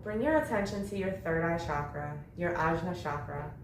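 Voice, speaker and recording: A woman leading a guided yoga meditation, speaking gently in short, unhurried phrases with long pauses between them. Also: on the low side. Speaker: yoga nidra meditation instructor. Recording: roomy echo with faint background noise.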